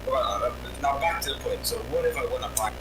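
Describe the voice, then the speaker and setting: A man's voice: medium pitch, fast. A man teasing his friends with crude jokes in casual voice chat, laughing often. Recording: distant echoey mic with loud noise.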